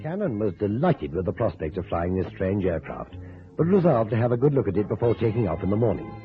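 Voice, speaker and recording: Very deep, dramatic male radio narrator. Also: sing-song, a little fast, narrow, tinny audio. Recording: band-limited old radio recording with music.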